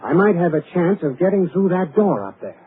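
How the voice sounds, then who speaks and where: A man's voice: high voice, sing-song, fairly quick. An actor playing a desperate young man in a 1940s radio drama, urgent and intense. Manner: urgent, later eerie hushed menace. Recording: tinny old radio broadcast with hiss.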